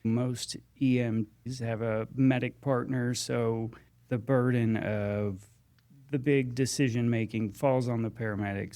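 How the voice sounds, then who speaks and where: The American guy with a fry-edged voice on a work podcast, feeling his way through each answer in halting, relaxed conversation. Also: fairly low pitch. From Texas and Georgia, US. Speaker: guest paramedic.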